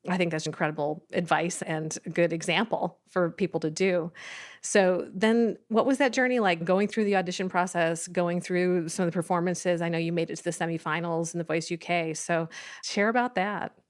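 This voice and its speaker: A woman's voice: lowish voice, fairly even intonation, normal speed. A woman hosting a podcast who interviews her guest in an encouraging, affirming way. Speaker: podcast host. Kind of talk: interview host chat.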